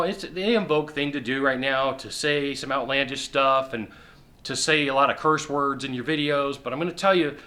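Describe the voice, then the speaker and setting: Middle-aged guy coaching sales from his couch, bright forceful voice, punchy and repetitive. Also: medium pitch. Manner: emphatic, repetitive, uses quick acted-out asides. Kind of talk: direct-to-camera advice talk. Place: indoor living room on a sofa.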